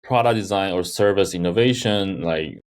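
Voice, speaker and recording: Podcast guest, man from Taiwan, very low voice, chatty and loose. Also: normal speed. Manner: rambling, many "like" fillers, laughs often. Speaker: guest, design researcher and teaching assistant. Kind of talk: casual interview chat.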